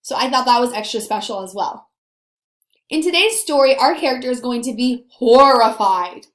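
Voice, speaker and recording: A woman's voice: a little high, animated. A woman teacher leading a read-aloud lesson for young children, stressing key words and putting questions to her pupils. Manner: slow, deliberate emphasis with questions to pupils. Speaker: elementary school teacher. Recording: roomy, echoey sound.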